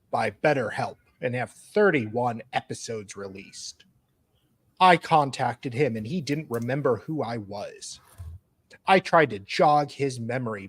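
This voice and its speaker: A man's voice: mid-range voice, husky. A man co-hosting a live stream, bantering casually and reading aloud in a playful, teasing way.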